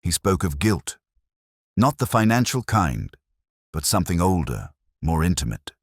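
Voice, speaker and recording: Very deep-voiced man narrating. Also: very animated, slightly rough, dry recording, clean studio sound. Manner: measured, reflective, solemn. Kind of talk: scripted first-person detective narration.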